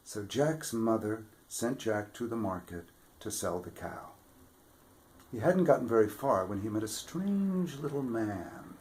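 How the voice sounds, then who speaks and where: A man reading a children's fairy tale aloud with expressive delivery, dropping to whispers for suspense. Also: on the low side, measured pace. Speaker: storybook reader, theatre regular. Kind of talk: children's story read-aloud.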